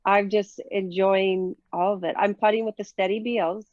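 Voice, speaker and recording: A woman's voice: mid-range voice, steady tone, normal speed, a little mellow, no echo, muffled recording. A woman from Minnesota with a Minnesota accent, chatting casually in an interview with many fillers and easy laughs. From Minnesota, USA. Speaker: professional disc golfer, guest.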